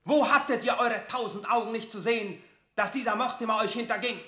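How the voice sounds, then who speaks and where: An actor playing a stern, calculating, proud lord at court in a classical radio drama, declaiming forcefully. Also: male voice, extremely high pitch, steady tone, a little fast, echoey room. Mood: accusing. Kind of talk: classical German stage-verse radio drama.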